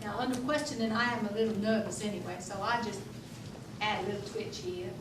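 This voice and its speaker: A woman's voice: mid-range voice, fairly even intonation, fairly quick, natural voice. An actress playing an eccentric, defiant Civil War-era Southern abolitionist woman in a theatrical, declamatory stage monologue. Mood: sly, confiding. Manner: declamatory, emphatic, oratorical. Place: theatre stage, recorded from the audience. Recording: echoey distant stage recording, noisy.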